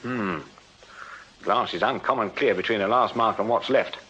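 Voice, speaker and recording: An actor playing a shrewd Victorian police sergeant in a radio drama, speaking in a dry, authoritative, deliberate English voice. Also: male voice, on the low side, pushed and bright. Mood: brisk, businesslike. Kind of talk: radio period drama acting. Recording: slightly dull, hissy radio drama recording.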